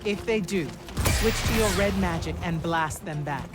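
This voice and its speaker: A woman's voice: lowish voice, natural voice. A stern female battlemage mentor played by an actress gives orders in a fantasy game. She is composed and commanding, with dry, unimpressed authority. Music plays prominently behind her. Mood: measured, commanding. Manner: measured, commanding, dryly unimpressed. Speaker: military magic instructor. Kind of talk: scripted video game dialogue.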